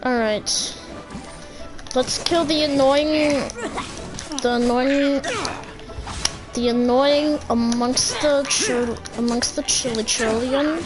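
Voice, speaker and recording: A woman streamer comments casually on a video game in a high voice. She talks slowly and haltingly, with game music clearly playing behind her. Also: steady tone, rough recording. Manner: halting, repeating phrases.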